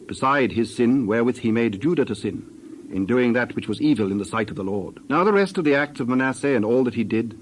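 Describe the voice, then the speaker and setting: A man's voice: mid-range voice, a little hiss or hum. A man giving a scripted narration of scripture as the narrator of a dramatized reading.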